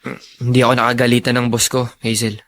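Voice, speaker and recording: A man's voice: mid-range voice, completely flat delivery, fast talker, a bit bright, natural voice. A young Filipino man played by an actor in a radio drama. He is a brooding, stubborn elder brother, torn and resentful. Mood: subdued. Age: young adult.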